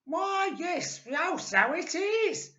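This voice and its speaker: A shrill, terrified old wife; very high and swooping, for a storybook. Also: female voice, animated, drawn-out words, bright, forceful tone, heavily acted. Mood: fretful. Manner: high wailing cries. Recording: echoey room, dull lo-fi.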